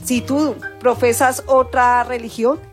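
A woman's voice: a little high, steady tone, slow pace. A woman explaining things as a teacher in an informal interview. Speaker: religion teacher. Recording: faint music behind the voice. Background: faint background music.

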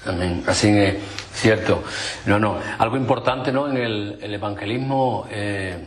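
A man with a moderately low voice hosts a religious conversation. He speaks in a hesitant, affirming way, breaking up his thoughts with fillers and short tag questions. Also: measured pace, a crowd in the background. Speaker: interviewer, host.

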